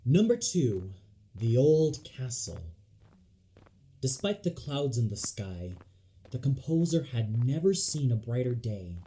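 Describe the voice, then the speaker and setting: Male narrator, dramatic scripted reading, slow pace, mellow tone, backing music. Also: mid-range voice, sing-song, rough recording.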